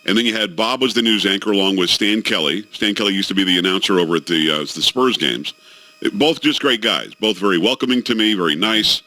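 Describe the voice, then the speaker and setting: A man's voice: fairly low pitch, brisk, dry recording, low-quality recording. A middle-aged man hosting a radio talk show, telling jokey stories in casual banter with his co-hosts. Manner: animated storytelling, jokey.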